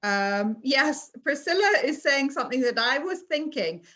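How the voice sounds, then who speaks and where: A woman's voice: high-pitched, animated, a little slow, fairly bright tone. A woman from England with a British accent, hosting a virtual interview and reading out audience questions over a video call. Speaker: interview host.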